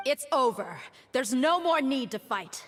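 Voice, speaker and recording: A woman playing a tough, brooding female mercenary in a video game, speaking firmly and deliberately. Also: high-pitched, big pitch swings, a bit bright, a little hiss or hum, exaggerated voice. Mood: stern, threatening. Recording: long reverb, music underneath. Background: game music.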